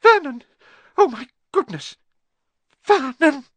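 A man's voice: mid-range voice, big pitch swings, unhurried, no room sound, voice pushed up. A man voicing an anxious, fretful middle-aged aunt in a storybook, with a quivering voice. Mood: horrified.

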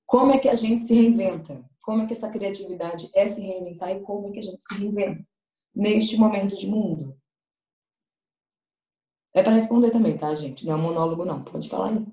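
A woman's voice: mid-range voice, fairly quick, dark tone. A woman giving an interactive online talk over a video call, speaking straight to her audience and picking up their chat replies. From Salvador, Bahia, Brazil. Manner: conversational, addresses audience directly, reads out chat replies. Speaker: guest speaker in an online event. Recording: echoey room.

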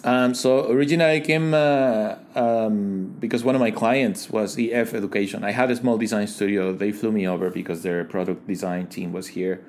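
Man, fairly low voice, halting and reflective. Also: fairly even intonation, darkish tone, a little hiss or hum. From Ecuador. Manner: thoughtful, halting, many fillers and restarts. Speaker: guest, digital design leader. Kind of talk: casual interview conversation.

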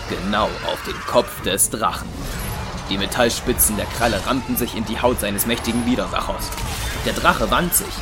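A male narrator tells an action story for an audio drama. His voice is fairly low and the delivery is scripted, with loud music playing behind him.

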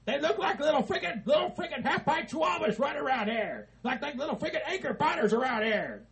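A man playing a cranky, foul-mouthed old hillbilly who yells and laughs as he rants. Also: extremely high pitch, sing-song, brisk, full character voice. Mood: ranting. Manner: foul-mouthed, yelling, laughing while ranting.

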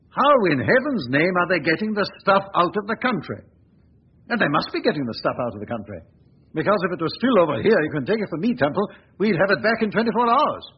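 An actor playing a hesitant, flustered police chief who keeps saying "uh" and clearing his throat, in 1940s radio acting. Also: fairly high pitch, a little hiss or hum. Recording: dull lo-fi 1940s radio recording.

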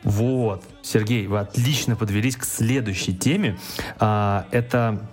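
A man's voice: on the low side, measured pace, darkish tone, faint music behind. A man hosting a podcast, asking his guests casual questions in a chatty, somewhat hesitant way. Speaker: podcast host.

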